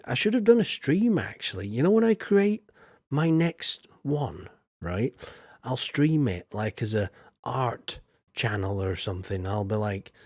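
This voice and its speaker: A man's voice: medium pitch, a little mellow, slightly rough, no echo. A man hosting a livestream, chatting to viewers in a rambling, folksy way full of filler. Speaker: livestream host. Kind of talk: casual livestream chat to viewers.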